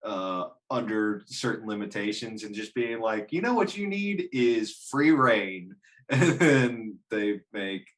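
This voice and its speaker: A man's voice: fairly low pitch, big pitch swings, takes it slowly. A man hosting a casual discussion show in a wry, joking manner, often chuckling as he talks. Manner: wry, joking, chuckles through his talk. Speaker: host. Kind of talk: casual chat.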